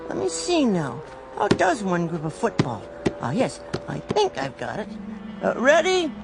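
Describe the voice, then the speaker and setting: Fussy, meek, lively-pitched salesman. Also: measured pace, vocal fry, over loud music, full character voice. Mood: prim, polite. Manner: hesitant, prim, wordy, formal. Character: meek, fussy, bewildered vacuum cleaner salesman. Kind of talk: radio drama acting.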